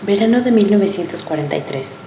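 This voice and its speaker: A woman's voice: medium pitch, expressive, fast talker. Woman narrating in a roomy space. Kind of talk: scripted documentary narration. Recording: roomy with clear background noise.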